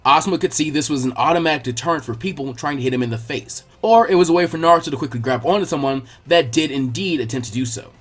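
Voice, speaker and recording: A man's voice: a little high, quick pace, few pauses. A man reading a scripted action story aloud as its narrator.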